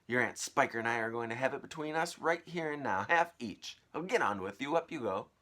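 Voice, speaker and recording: A man's voice: mid-range voice, expressive, brisk, sharp tone, pitched above the performer's own voice. A man voicing a grumpy, greedy, disbelieving aunt from a children's storybook, blustering and scolding. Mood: greedy, bossy.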